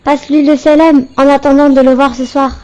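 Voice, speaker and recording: Cartoon kid, played by a woman: friendly, polite, clearly high-pitched, slightly dull recording. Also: flat delivery, a little fast, darkish tone, lightly acted. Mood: friendly, grateful. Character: friendly, polite, grateful young boy runner. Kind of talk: didactic cartoon dialogue.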